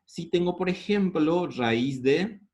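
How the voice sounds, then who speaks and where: A man's voice: a little high, expressive, darkish tone. A man with a Paraguayan Spanish accent teaching integrals step by step, asking the class questions and answering them himself. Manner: asks the class questions and answers them, slows down over formulas. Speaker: math teacher.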